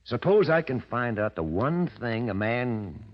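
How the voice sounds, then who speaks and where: An actor in 1940s radio style playing a calculating, ambitious gangster boss, cool, boastful and menacing. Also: male voice, mid-range voice, very animated, a little slow. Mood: quietly menacing. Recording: muffled old radio drama recording.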